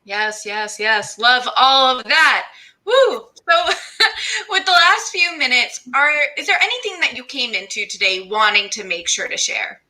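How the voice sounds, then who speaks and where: A woman's voice: high voice, sing-song, a little slow, bright, forceful tone. A woman hosting a show in an upbeat, casual way, with a playful, exuberant manner. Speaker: show host.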